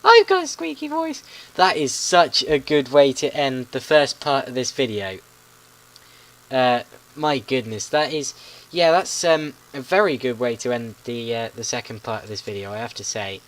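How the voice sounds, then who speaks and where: A man's voice: medium pitch, lively intonation, normal speed, a bit bright, low-quality recording. A teenage boy in secondary school giving chatty, polite play-by-play commentary on a video game, often stumbling over his words. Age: teens.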